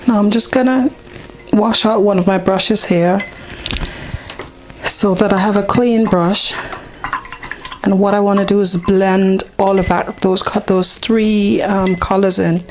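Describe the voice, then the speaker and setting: A woman with a hoarse, partly lost voice, casually talking a beginner through a painting step by step, unhurried and with many ums. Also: medium pitch, fairly even intonation, darkish tone, slight background noise, rough recording. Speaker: painting teacher.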